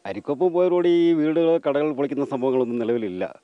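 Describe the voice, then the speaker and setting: A man's voice: a little high, animated, fast talker. A middle-aged man, giving spontaneous answers to a reporter. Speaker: local ration shop keeper. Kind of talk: spontaneous news interview answers. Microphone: handheld reporter microphone. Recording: dull, dry lo-fi field recording.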